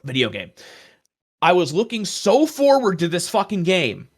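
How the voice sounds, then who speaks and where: A man's voice: high voice, wildly swooping pitch, moderate pace, slightly rough. A man co-hosting a casual discussion of tabletop roleplaying, telling stories in an earnest, emphatic way. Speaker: podcast co-host.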